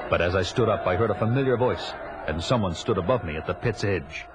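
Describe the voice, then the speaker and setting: A man's voice: sits low, expressive, fairly quick, over a noisy crowd, very noisy. An actor playing a vengeful, battle-hardened barbarian who tells his own tale in solemn, declamatory audio drama style. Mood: grave, urgent. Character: vengeful, battle-hardened male barbarian warrior, grim and proud. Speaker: narrator and lead actor. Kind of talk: dramatic first-person audio drama narration. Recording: loud music behind the voice.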